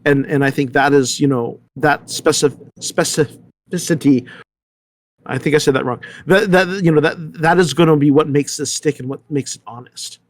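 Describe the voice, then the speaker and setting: Man, American accent, fairly high voice, earnest discussion, halting delivery, dry close sound. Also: no echo. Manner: halting, searching for words, stammering restarts. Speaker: panelist.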